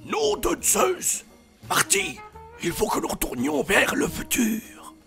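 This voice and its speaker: A man voicing a frantic, eccentric old movie scientist, exclaiming and high-strung. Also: very animated, slow, gravelly, bright, forceful tone, faint music behind, full character voice. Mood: alarmed.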